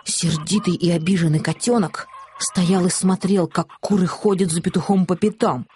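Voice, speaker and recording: A woman narrating a children's audiobook in an expressive, scripted storytelling style. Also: lowish voice, moderate pace, slight background noise.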